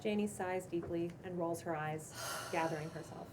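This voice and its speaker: A woman's voice: fairly low pitch, steady tone, moderate pace. A woman reading scripted stage directions as the narrator of a table read. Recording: distant mic, noticeable room noise.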